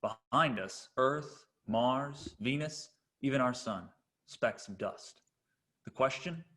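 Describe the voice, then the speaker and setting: Starship captain, male, played by an actor at a table read, commanding, reflective, defiant, medium pitch. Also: slow pace, a bit bright. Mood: grand, wondering. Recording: online video call.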